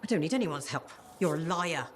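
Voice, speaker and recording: A woman's voice: deep voice, animated, a little fast, a little hiss or hum. A woman playing a distraught, confused mother grieving for her son, emotional and accusing, in a video game. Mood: hurt, angry.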